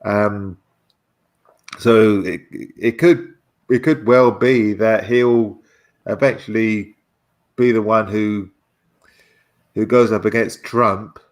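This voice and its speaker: Slow, halting British man in conversation. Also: fairly low pitch, steady tone. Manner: hesitant, halting, repeats words. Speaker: guest. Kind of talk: casual political chat.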